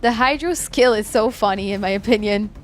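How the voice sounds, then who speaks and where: A woman's voice: medium pitch, fairly quick. A woman game streamer chatting casually and commenting live on her play. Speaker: game livestreamer.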